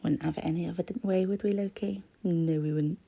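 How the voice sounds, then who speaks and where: A croaky-voiced woman, chatting casually as she crafts, often trailing into a whisper. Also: lowish voice, fairly even intonation, brisk, mellow. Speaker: crafting vlogger. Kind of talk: casual voice-over chat while crafting.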